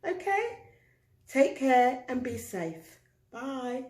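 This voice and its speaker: Animated woman storyteller in echoey room. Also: high voice, extremely slow. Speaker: storyteller for young children. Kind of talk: expressive children's story reading. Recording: roomy echo.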